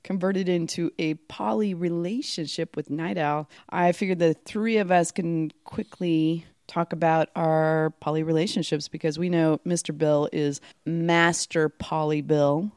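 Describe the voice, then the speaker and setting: A woman's voice: deep voice, steady tone, a little slow, hardly any pauses, a little mellow, no echo, clean studio sound. A woman hosting a casual podcast from a bedroom, teasing and steering the talk with questions. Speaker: podcast host. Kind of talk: casual chat. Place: bedroom in a rented house.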